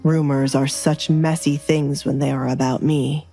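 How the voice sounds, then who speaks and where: A woman's voice: deep voice, little pitch movement, unhurried, dark tone, faint music behind, pitched below the performer's own voice. A woman voicing a dangerous nobleman duke in an audio romance, formal, commanding and dryly teasing. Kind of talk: dramatized audio romance dialogue.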